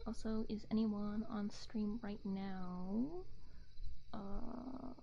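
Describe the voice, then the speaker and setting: A woman livestream host chatting softly in a gentle ASMR style, often dropping into whispers as she murmurs to herself and her viewers on a close binaural microphone. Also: medium pitch, steady tone, drawn-out words, creaky. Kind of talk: soft ASMR livestream chat. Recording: muffled, lo-fi, noisy ambient hiss.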